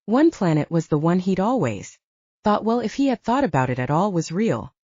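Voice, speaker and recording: Woman reading an audiobook story, voice on the low side, soft mellow tone, kinda lo-fi dry recording. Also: very animated, moderate pace, dry recording. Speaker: audiobook narrator. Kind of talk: scripted fiction narration. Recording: dull, lo-fi, narrow sound.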